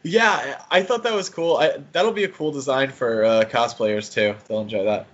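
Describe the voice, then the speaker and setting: A man's voice: medium pitch, moderate pace, a bit bright, lo-fi sound. A man co-hosting a podcast in casual chat, with an easygoing manner and joking asides. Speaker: podcast co-host.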